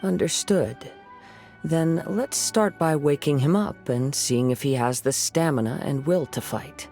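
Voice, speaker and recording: A man voicing a calm, gentle young wandering samurai in a video game, speaking in a soft, reflective way. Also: on the high side, a little slow, soft background music.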